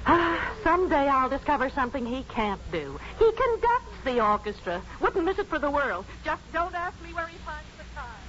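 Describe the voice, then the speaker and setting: An actress playing a brisk, prim, long-suffering head nurse in a 1950s radio drama. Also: female voice, high voice, sing-song, a bit bright. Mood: wry. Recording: muffled, noisy 1950s radio recording.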